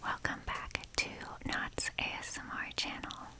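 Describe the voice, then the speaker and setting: A young woman speaks in a breathy whisper throughout, as an ASMR host would. Her delivery is casual and rambling, with a self-deprecating streak. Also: measured pace.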